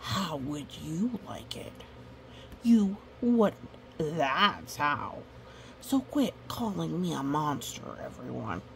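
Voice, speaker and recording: A woman voicing a grumpy, put-upon male monster from a picture book, slow, deliberate and mildly indignant. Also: low-pitched, sing-song, creaky, slight background noise, heavily acted, poor audio.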